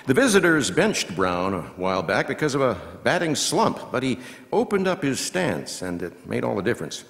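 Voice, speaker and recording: A man playing a seasoned, folksy baseball radio announcer, giving play-by-play with measured asides that break into punchy, drawn-out calls. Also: medium pitch, sing-song, vocal fry, a bit bright. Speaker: reader performing a scripted baseball commentary. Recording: long hall reverb from live venue.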